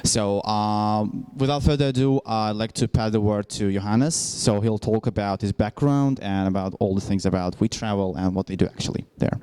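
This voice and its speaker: A man's voice: fairly low pitch, moderate pace, hardly any pauses, mellow, a little hiss or hum. A man hosting an event, introducing the guest speaker with many hesitant fillers. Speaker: event host.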